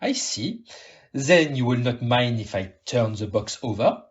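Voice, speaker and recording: A man's voice: medium pitch, big pitch swings, measured pace. A man playing a shrewd, formal detective in an audio play, questioning politely and precisely, on a muffled recording. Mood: grave, pointed. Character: shrewd, courteous male detective, probing and precise.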